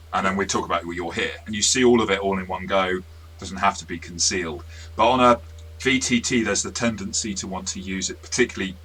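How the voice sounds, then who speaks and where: Low, very bright-voiced man. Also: slight background noise, rough recording. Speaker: tabletop game master and review show co-host. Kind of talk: casual review discussion.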